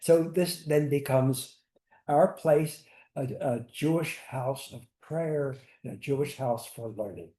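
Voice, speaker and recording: Halting older man in an echoey hall. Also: medium pitch, warm tone. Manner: halting, restarts, Yiddish words. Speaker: synagogue founder on a panel. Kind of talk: personal storytelling on a panel. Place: community hall panel. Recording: echoey room.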